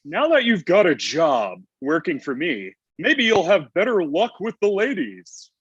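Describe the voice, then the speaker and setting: A man's voice: high voice, huge pitch swings, measured pace, fairly bright tone, slightly rough, muffled recording, exaggerated voice. A man playing a grumpy, ancient Santa Claus in a comedy table read, with a blunt, testy, conversational manner. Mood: frank.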